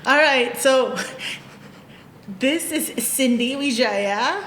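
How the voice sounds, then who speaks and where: A woman's voice: extremely slow, a bit bright. A woman pastor hosting a casual church podcast chat, talking loosely and laughing often. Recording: echoey room with faint rumble.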